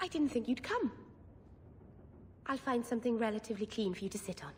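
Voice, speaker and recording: An actress playing a naive, earnest young elf woman in a video game, speaking gently and hesitantly. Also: a little high, expressive, a little fast, slight background noise, natural voice. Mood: grateful, flustered. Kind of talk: video game cutscene acting. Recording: music behind the voice.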